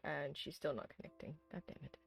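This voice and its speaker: A tired, hoarse streamer who chats casually with viewers while replaying a horror visual novel, rambling with lots of ums and restarts. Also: medium pitch, steady tone, a little fast, over music. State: hoarse, sleepy. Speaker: streamer host.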